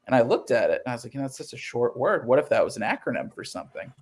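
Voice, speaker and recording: A man's voice: mid-range voice, big pitch swings, very fast, a little mellow, husky. Earnest man telling his story in an interview. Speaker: interview guest, comic book writer.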